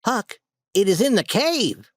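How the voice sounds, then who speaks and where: A storybook reader voicing an eager, bold boy adventurer in a raised, excited voice. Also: medium pitch, measured pace, dry recording, voice pushed up. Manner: excited, insistent.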